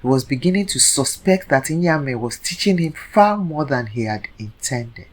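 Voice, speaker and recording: A woman storyteller narrating a scripted folktale in a dramatic, deliberate manner. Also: very deep voice, animated.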